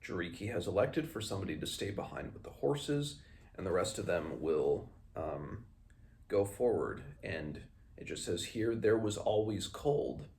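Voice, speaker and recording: A man hosting a read-along, reading a fantasy novel aloud and adding informal recap, measured in the reading and hesitant in his comments. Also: low-pitched, animated, a little mellow, a little hiss or hum. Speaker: book read-along host.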